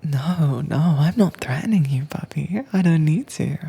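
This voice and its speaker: A woman performing a whispered roleplay as a calm, commanding agent who keeps a werewolf caged, speaking in a breathy, teasing manner close to the mic. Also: deep voice. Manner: cool, teasing, unhurried. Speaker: audio drama voice actress. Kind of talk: soft-spoken whispered roleplay audio.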